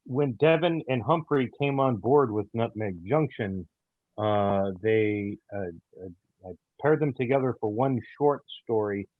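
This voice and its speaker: A man speaking haltingly in an interview as an audio drama writer, with frequent hesitant pauses. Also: medium pitch. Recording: tinny, band-limited call audio, roomy echo.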